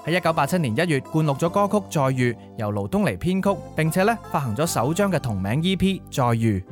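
A man's voice: fairly high pitch, very animated, brisk. A man hosting a music programme, delivering scripted music-history narration. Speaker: host of a Cantonese music nostalgia programme. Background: background music.

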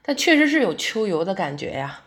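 A woman's voice: medium pitch, big pitch swings, a little fast, a bit bright. A woman acting a friendly, reflective working woman, a young adult theatre fan, in conversational, naturalistic audio drama dialogue. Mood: enthusiastic, sympathetic.

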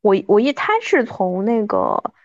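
Female podcast guest, thirties, mid-pitched, animated and self-mocking casual chat, slightly muffled sound. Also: a little slow, no echo. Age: 30s.

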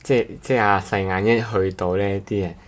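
A man's voice: on the low side, steady tone, fairly quick. A man chatting casually as a podcast co-host, hesitant and repeating his phrases. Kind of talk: casual chat.

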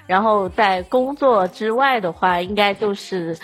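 A woman's voice: mid-range voice, measured pace. A woman from Chongqing in China chatting casually as a podcast guest. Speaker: podcast guest, audio drama producer. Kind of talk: casual chat.

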